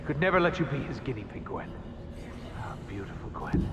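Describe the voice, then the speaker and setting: An actor playing a bitter, arrogant scientist villain in a video game, with a sneering, grandiose manner. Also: male voice, medium pitch, sing-song, a little fast, in a large hall, very noisy. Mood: mocking. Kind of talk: video game cutscene acting.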